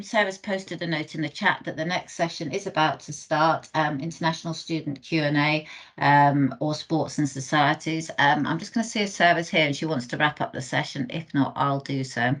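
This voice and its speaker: A woman with a low-pitched voice hosts an online careers panel on a video call. She speaks reassuringly and thinks aloud with pauses and fillers. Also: low-quality recording. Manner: warm-toned, reassuring, halting with fillers. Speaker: careers specialist.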